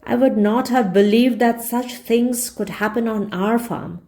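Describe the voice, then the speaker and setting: A woman's voice: on the high side, unhurried, dark tone, voice pushed up. A woman voicing a loyal, hard-working male farm horse in a storybook, earnest and resigned.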